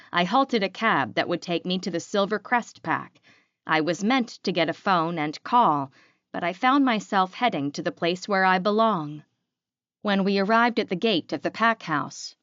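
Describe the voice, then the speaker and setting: A woman narrates a story in scripted audiobook style. Her voice sits a little low. The sound is very dry, with no room to it, and somewhat dull on top. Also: fairly bright tone, no room sound.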